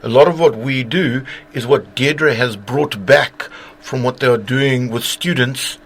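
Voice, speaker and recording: A man from Cape Town answers questions as a guest on a radio interview. His voice sits at a mid pitch for a man. He explains in a measured, steady way. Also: slightly rough. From Cape Town, South Africa. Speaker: foundation co-founder, guest.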